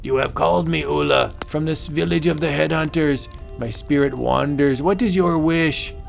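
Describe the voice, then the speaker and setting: A man's voice: a little high, moderate pace, gravelly, soft background music, voice pushed up. A man voicing the urgent, mournful spirit of a captive tribesman, portentous, in a comic book audiobook. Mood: summoned, solemn.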